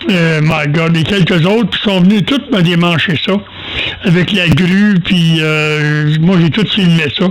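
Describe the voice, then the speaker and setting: An older man with a Quebec French accent, chatting casually over amateur radio in a halting, folksy, self-deprecating way, telling rambling anecdotes. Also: on the high side, slight background noise, poor audio.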